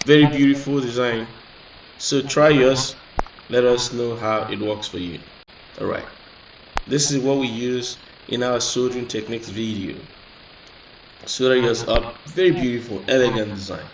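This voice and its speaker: A man's voice: mid-range voice, moderate pace, dull audio. A man hosting a casual hands-on electronics tutorial, explaining step by step with frequent restarts and fillers. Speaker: electronics channel host. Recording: echoey room, mic at a distance, noisy.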